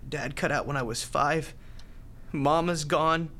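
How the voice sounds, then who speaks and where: Slow-spoken, grieving young man. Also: a little high, sharp tone, a little hiss or hum. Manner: halting, emotional, blunt street talk. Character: grieving, bitter young male hospital volunteer, tough but tender. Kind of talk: radio drama acting.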